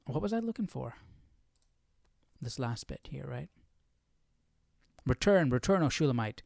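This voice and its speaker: Man, study host, informal, questioning, medium pitch, very dry recording. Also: big pitch swings, a little slow, vocal fry, darkish tone, no echo. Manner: informal, questioning, thinking aloud. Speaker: host of Bible study livestream. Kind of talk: casual commentary, reading aloud and musing.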